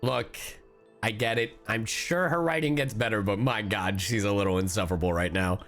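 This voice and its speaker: A man reacting casually as a live game streamer, expressive, campy and sweary. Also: lowish voice, moderate pace, faint music behind.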